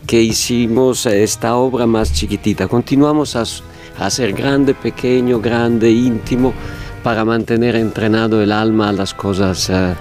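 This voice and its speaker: A man's voice: medium pitch, fairly even intonation, unhurried, a little mellow, faint music behind. A man from the Italian-speaking part of Switzerland with an Italian accent and some Mexican Spanish in his speech, telling stories as a guest in a radio interview. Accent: Swiss Italian, with some Mexican Spanish. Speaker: guest, theatre director.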